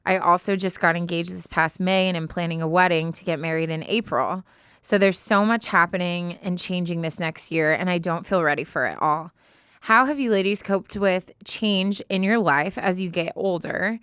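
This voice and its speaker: Clear, lower-pitched American woman. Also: normal speed, fairly bright tone, no echo, clean studio sound. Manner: informal, slangy. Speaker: podcast co-host. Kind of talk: casual banter and reading a listener letter aloud. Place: podcast studio.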